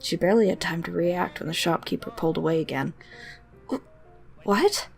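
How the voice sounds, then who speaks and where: A woman's voice: lowish voice, fairly even intonation, fast talker, creaky, a little mellow, faint music behind. A woman reading a scripted story as its narrator. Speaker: narrator of own fan fiction.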